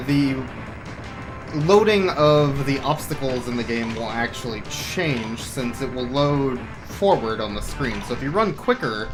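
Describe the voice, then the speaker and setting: Male speedrun commentator, casual and wry, mid-pitched, playful mock-drama, prominent game music underneath. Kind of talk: casual live gaming commentary.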